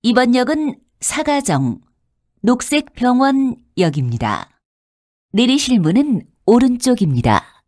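High, melodic woman making formal transit announcements. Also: big pitch swings, measured pace, a little mellow, no room sound, clean studio sound. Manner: formal, polite, clearly enunciated. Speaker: subway announcer. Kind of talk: scripted public transit announcement.

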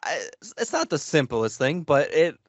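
A man's voice: on the high side, big pitch swings, moderate pace, no echo. A young man chatting casually as a podcast co-host, in an everyday conversational way. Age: young adult. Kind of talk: casual chat. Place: small home room, indoors.

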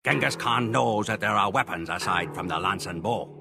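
Game cutscene narrator: weathered Mongol storyteller, deepish creaky voice, portentous and measured. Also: male voice, sharp tone, heavily acted. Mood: grim, proud. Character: solemn Mongol chronicler, a weathered steppe tribesman telling his people's epic. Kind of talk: scripted dramatic storytelling narration.

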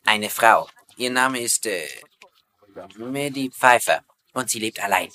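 An actor playing a dry, practical prisoner accomplice in a comedy dub, speaking matter-of-factly. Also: male voice, medium pitch, animated, slow, pushed and bright. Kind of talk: German comedy dub acting.

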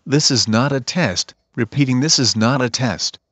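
A man's voice: mid-range voice, normal speed, a bit bright, dry recording. A man reading a scripted emergency broadcast announcement in a stiff, formal manner.

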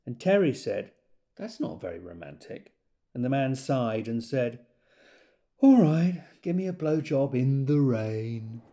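Wry, gravelly male storyteller. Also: medium pitch, big pitch swings, a little slow, dark tone. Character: wry, gossipy man telling anecdotes about a friend. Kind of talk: dramatic monologue in an audio drama.

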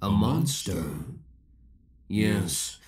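A man's voice: on the low side, lively intonation, extremely slow, a little mellow, slightly rough, heavily acted. A man playing an obsessive young villain in a roleplay audio drama, theatrical and formal, taunting with cold affection. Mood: possessive, controlled. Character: obsessive young male villain, possessive, menacing, coldly affectionate.

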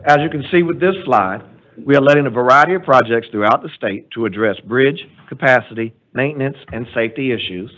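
A man gives a formal report at a board meeting, reading steadily from his slides. His voice sits in an ordinary middle pitch. The sound is thin and tinny, like a phone line. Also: fairly quick, a bit bright. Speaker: transportation department director presenting a report.

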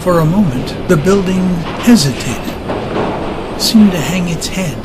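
A man's voice: high-pitched, animated, takes it slowly, heavy background noise. A man narrating a dramatized audiobook in a dramatic scripted style, with music underneath.

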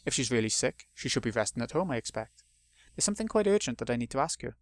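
A man's voice: mid-range voice, very animated, fast talker. A man doing a polite, persistent social worker. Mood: firm. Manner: formal, self-assured.

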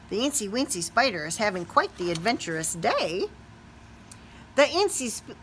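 A middle-aged woman reads a picture book to small children. Her voice is bright and very animated, with a sing-song lilt. There is faint noise behind her. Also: fairly high pitch. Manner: animated, sing-song, asks questions of young listeners. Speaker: teacher reading aloud to young children. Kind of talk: expressive children's storybook read-aloud. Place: small classroom or office, indoors.

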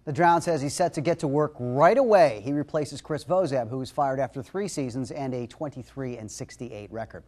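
A middle-aged man anchoring sports news in a TV studio, reading scripted stories and calling highlights. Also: normal speed, hardly any pauses, a little mellow.

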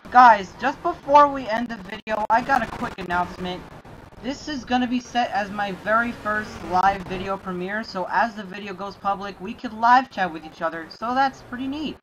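A host chatting casually and reviewing cars with a group, speaking haltingly and often repeating phrases. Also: medium pitch, fairly bright tone. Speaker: host of a gaming car review series. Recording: noisy game and vehicle sounds.

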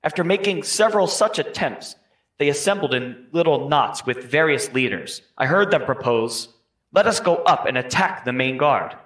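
A man's voice: a little high, animated, moderate pace, a bit bright. A man playing an 18th-century townsman giving careful, formal testimony in a scripted trial re-enactment. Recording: echoey room.

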